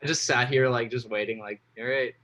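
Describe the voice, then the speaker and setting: A man's voice: medium pitch, steady tone, a little fast, a bit bright, low-quality recording. A young man from Mexico City and Dallas, a guest in a casual interview over a video call, rambling with lots of fillers. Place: home room.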